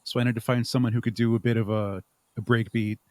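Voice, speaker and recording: A man's voice: medium pitch, little pitch movement, fast talker, darkish tone. A man speaking casually as a podcast guest, explaining his creative work in a rambling, chuckling way. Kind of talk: casual interview chat.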